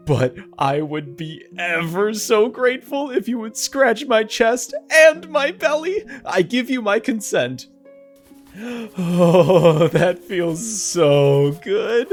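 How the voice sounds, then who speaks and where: An actor voicing an eager, affectionate dog in an audio play, gushing and excitable. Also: medium pitch. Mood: overjoyed. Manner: gushing, excitable, breathy gasps and laughs. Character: eager, affectionate dog with human speech in pet heaven.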